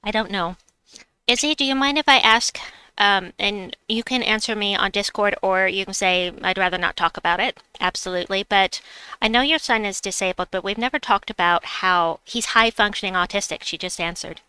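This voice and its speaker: A woman's voice: medium pitch, a little fast, bright, forceful tone, clean tone, no room sound, low-quality recording. A woman with a slight New York accent, streaming a game and chatting with her viewers as she plays. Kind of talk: casual live-stream chat while gaming.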